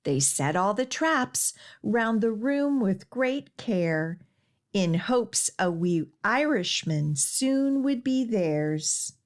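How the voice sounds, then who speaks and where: A woman hosting a children's storytime and reading a picture book aloud in an expressive, deliberate way. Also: medium pitch. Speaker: children's librarian and storytime host.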